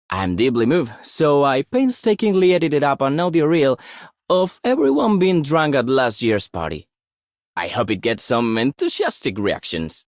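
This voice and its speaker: An actor playing a cheeky, cheerful young prankster in a video game, with a playfully dramatic delivery. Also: male voice, medium pitch, no echo, studio quality. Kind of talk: video game character voice line.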